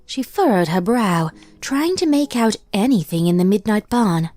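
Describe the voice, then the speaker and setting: A woman reading a horror fan story aloud in steady scripted narration. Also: medium pitch, moderate pace, no echo.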